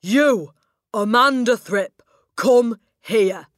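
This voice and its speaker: A woman voicing a huge, brutal headmistress who barks and shouts at children. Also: fairly low pitch, a little slow, no echo, studio quality, natural voice. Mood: furious. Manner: shouting, barking.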